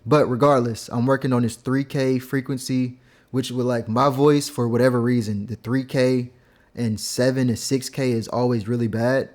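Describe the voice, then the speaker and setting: A man's voice: medium pitch, fairly even intonation, moderate pace, a little mellow. Laid-back young man explaining mixing. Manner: laid-back, rambling, heavy use of "like" and "um". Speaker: music producer teaching vocal mixing. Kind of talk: casual screen-recorded tutorial.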